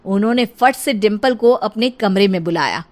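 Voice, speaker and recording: A woman's voice: mid-range voice, normal speed. A woman narrator telling a scripted comic family tale. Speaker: story narrator.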